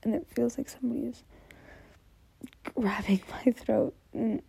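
Young adult woman, high, creaky, whispery voice; tearful, stammering, apologetic intimate delivery to a partner. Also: steady tone, dark tone, no echo. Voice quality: breathy, whispery voice. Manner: halting, apologetic, stammering repetitions. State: anxious, tearful, close to crying. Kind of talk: intimate whispered monologue, audio roleplay.

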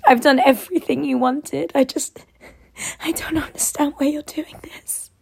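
A woman's voice: on the high side, steady tone, takes it slowly, gravelly, a little mellow, slight background noise. A young woman acting in an adult audio roleplay, playing a submissive character who pleads and often drops into a whisper. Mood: pleading.

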